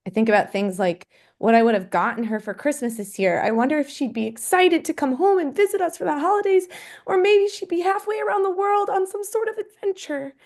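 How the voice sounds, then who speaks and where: A woman's voice: sits high, fairly quick, a little mellow. A woman playing a grieving but resilient mother, earnest and tender, in a dramatic table read. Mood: wistful. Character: grieving mother, tender and resilient toward her estranged husband.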